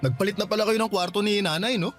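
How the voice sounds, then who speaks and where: A man's voice: high voice, fast, a bit bright, soft background music. A male actor with a Filipino accent playing a patient, awkward older brother in a radio drama, coaxing and hesitant. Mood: gently probing. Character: conciliatory older brother back from years abroad, patient, awkward.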